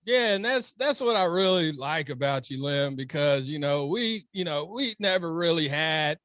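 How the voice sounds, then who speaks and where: A man's voice: fairly high pitch, lively intonation, moderate pace, fairly bright tone, no room sound, clean studio sound. A man hosting a podcast, asking questions in a loose, friendly way full of "you know". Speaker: podcast host. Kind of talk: casual interview chat.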